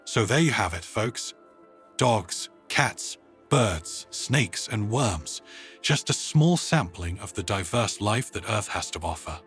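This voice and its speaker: Man reading as a composed woman presenter, lively intonation. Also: on the low side, slow pace, soft background music. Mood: upbeat, modest. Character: composed female human team leader closing a presentation.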